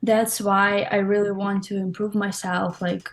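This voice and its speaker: Young Russian-accented woman, even pitch. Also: unhurried. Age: young adult. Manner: informal, loosely phrased, frequent "like". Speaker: interview guest, college swimmer. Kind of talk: casual interview answers.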